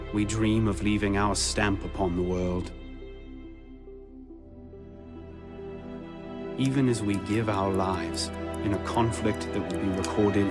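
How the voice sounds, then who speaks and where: Game hero narration, male actor: world-weary assassin, lowish voice, solemn and meditative, over prominent music. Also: lightly acted. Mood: grave, resolute. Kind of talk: scripted closing monologue in a video game.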